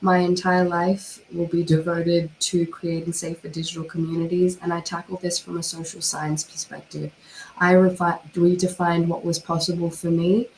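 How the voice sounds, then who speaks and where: A hoarse woman, her voice worn down by a cold, delivers a measured, formal presentation over a video call. Her pitch sits low and stays flat, and a faint hiss runs under the line. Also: poor audio. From Australia. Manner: measured, formal, with occasional fillers. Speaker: panel speaker, cyber criminologist. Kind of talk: formal prepared online presentation.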